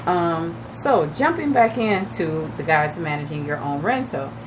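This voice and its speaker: A woman with a fairly low, raspy voice that still sounds a bit shaky as she recovers from losing it. She talks casually to listeners on a radio show, giving advice with plenty of fillers. The broadcast has a clear layer of noise behind her. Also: lively intonation, moderate pace. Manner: chatty, advising listeners directly with many fillers. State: recovering from lost voice, a little shaky. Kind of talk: casual radio talk on real estate. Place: radio studio.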